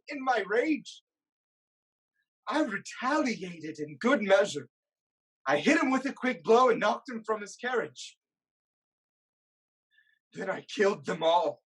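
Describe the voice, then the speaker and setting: A performer playing a proud, anguished king haunted by prophecy, in a grave, deliberate dramatic reading of Greek tragedy. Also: mid-range voice, breathy edge, full character voice, poor audio. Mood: anguished, horrified.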